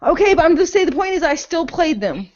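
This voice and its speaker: A woman's voice: very high-pitched, very animated, quick pace, darkish tone, muffled recording. A woman co-hosting a casual podcast, blunt, sarcastic and opinionated, with plenty of swearing. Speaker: podcast co-host.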